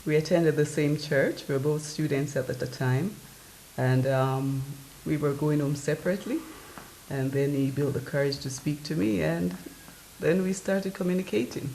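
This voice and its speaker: Woman in her sixties, mellow voice. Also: deep voice, moderate pace, creaky. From United Kingdom. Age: 60s. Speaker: interviewee, wife. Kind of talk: personal recollection. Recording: echoey room with faint background hum.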